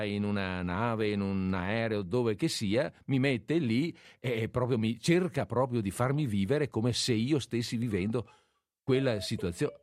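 A man hosting a casual call-in radio show, speaking in a halting, reflective way. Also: medium pitch, darkish tone, dry recording, clean studio sound. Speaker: radio host.

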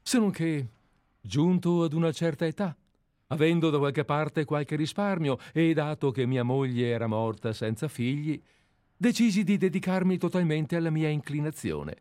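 An actor in a radio play playing a meek, middle-aged former bookkeeper turned detective, deferential, wordy and formally polite. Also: male voice, fairly high pitch, normal speed, a little mellow, dry recording, natural voice. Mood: earnest, admiring.

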